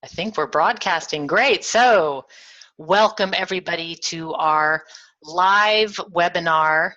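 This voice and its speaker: A woman's voice: fairly low pitch, slow pace, sharp tone. A woman from Germany hosting a webinar over a video call, upbeat and conversational. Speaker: webinar host. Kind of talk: webinar presenting. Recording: slightly muffled video call audio.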